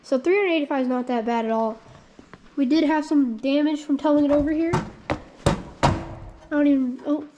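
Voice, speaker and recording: A woman's voice: high-pitched, normal speed, long pauses, dark tone, highs cut off, a little hiss or hum. A woman hosting a casual walkthrough vlog, thinking aloud in a rambling, unsure way with frequent ums. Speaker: channel host.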